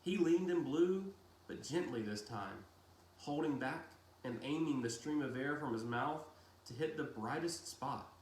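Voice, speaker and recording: A man narrates a novel in a slightly high voice. He takes his time, phrasing dramatically with deliberate pauses. The room adds a clear echo to his reading. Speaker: audiobook-style reader. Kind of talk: expressive storybook narration of a novel. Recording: roomy echo with faint hiss.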